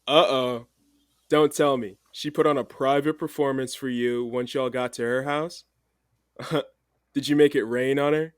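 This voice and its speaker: Male actor, upbeat brash executive, medium pitch, casual slangy talk, dry sound, audio drama. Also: steady tone, measured pace, clean tone, no echo, lightly acted. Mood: teasing, curious.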